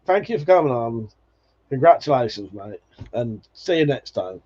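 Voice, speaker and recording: A man's voice: medium pitch, big pitch swings, moderate pace, mellow. A man in his forties hosting a live stream, chatting casually and nostalgically, swearing freely and laughing often as he reads viewer comments. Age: 40s. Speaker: livestream host. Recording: dull lo-fi stream audio.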